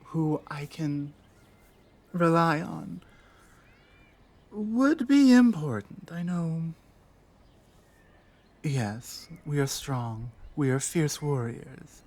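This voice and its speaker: Woman voicing a female orc warrior, guarded and lonely, slow deliberate delivery, halting earnest phrasing, mid-low pitch, ASMR audio drama. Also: darkish tone, heavily acted.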